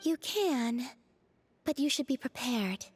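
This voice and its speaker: A woman playing a serene, gentle young moon goddess in a video game, speaking softly in a calm, formal, measured way. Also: sits high, a little hiss or hum. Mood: calm, composed. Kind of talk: video game character acting.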